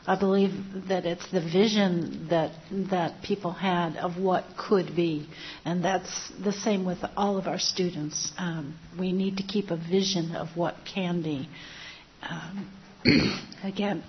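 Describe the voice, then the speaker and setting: A woman's voice: fairly low pitch, fairly even intonation, slow pace, highs cut off, slight background noise. A woman on a school board who is losing her voice, speaking earnestly with frequent ums. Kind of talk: public meeting remarks.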